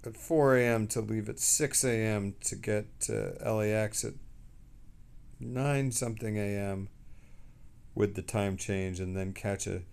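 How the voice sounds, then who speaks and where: A middle-aged man who sounds tired, chatting casually on a livestream in a rambling, halting way and trailing off mid-sentence. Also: fairly low pitch, fairly even intonation, gravelly, darkish tone, clean tone.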